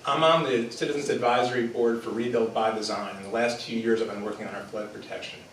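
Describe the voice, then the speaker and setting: A man's voice: mid-range voice, fairly even intonation, fast, a bit bright, slight background noise. Man giving a debate speech, echoey hall. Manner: earnest speech with frequent "uh" fillers. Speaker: political candidate. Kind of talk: opening statement at a debate. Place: theater stage before a live audience. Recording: echoey theater from far off.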